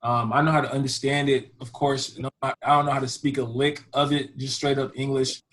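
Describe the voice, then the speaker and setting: A man's voice: mid-range voice, level tone, fairly quick, fairly bright tone. A young man hosting a podcast, chatting casually and rambling with lots of fillers. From Houston, Texas. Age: young adult. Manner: rambling, full of fillers like "you know". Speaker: podcast host. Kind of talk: casual chat.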